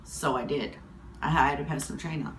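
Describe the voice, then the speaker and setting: A woman in her twenties with a husky voice from hay fever, talking candidly to camera in a rambling, casual way. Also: sits low, brisk, a little hiss or hum, poor audio. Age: 20s. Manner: candid, rambling, with many fillers. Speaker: vlogger.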